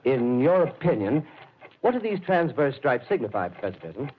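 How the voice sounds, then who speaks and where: An actor playing an earnest, courteous radio field reporter in a 1930s radio drama, speaking formally and dramatically. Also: male voice, mid-range voice, big pitch swings, normal speed, natural voice. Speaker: radio commentator and interviewer. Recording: scratchy, tinny 1938 radio broadcast.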